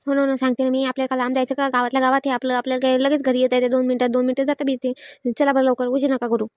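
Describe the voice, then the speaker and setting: A woman's voice: high voice, flat delivery, very fast, no room sound, clean studio sound, natural voice. A woman voicing blunt, exasperated village women in a cartoon family comedy, scolding and complaining in a rural Varhadi Marathi dialect. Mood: matter-of-fact, impatient. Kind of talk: animated family comedy dialogue.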